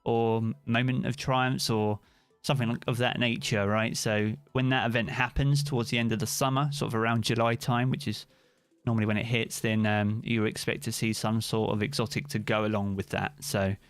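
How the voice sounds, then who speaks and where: A man's voice: on the low side, little pitch movement, normal speed, smooth. A British man streaming live, chatting casually and answering viewers' comments by name.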